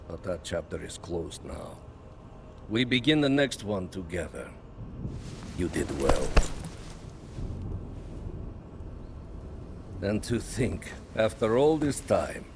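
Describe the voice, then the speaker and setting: An actor playing a cold, calculating Soviet spymaster with a measured, menacing delivery in a video game cutscene. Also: male voice, on the low side, slight background noise. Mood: calm, satisfied. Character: cold, calculating Soviet spymaster, a man who plots patiently.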